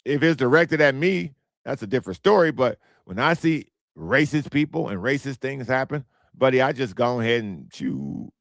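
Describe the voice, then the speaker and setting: An American man hosts his own podcast, talking bluntly and going over the same points twice. His voice is hoarse and strained, with a gravelly rasp. The sound is dry and close. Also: medium pitch, moderate pace, no room sound. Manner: animated, blunt, repeats himself. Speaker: podcast host. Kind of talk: casual solo commentary.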